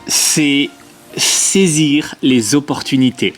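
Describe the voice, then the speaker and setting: A man co-hosting a casual travel vlog, blunt and emphatic and quick to laugh, recorded outdoors on a camera mic. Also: a little high, faint music behind. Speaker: vlogger. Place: outdoors in hot weather.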